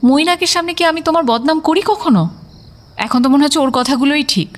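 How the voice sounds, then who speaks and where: A woman's voice: high voice, lively intonation, fairly quick. An actress playing a young woman in love, exasperated and anxious, chiding and pleading in a romantic audio drama.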